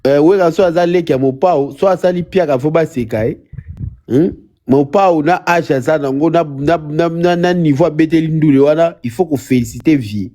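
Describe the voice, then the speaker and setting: Caller, man with a mellow, dark voice, rambling and halting. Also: on the high side. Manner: rambling, halting with many restarts. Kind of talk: live call-in chat.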